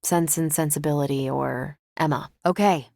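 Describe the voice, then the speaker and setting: Female superhero soldier, slightly low voice, dry close recording, wry, self-assured, quippy, casual video game dialogue. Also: moderate pace, gravelly, darkish tone, no echo. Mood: wry, conversational. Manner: casual, dryly joking, assured.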